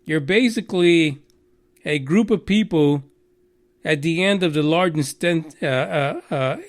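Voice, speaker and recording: A man's voice: on the high side, a little slow. A Texan man hosting a radio news show, rambling and mocking with plenty of swearing and filler words. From Texas. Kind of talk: profane rambling news commentary.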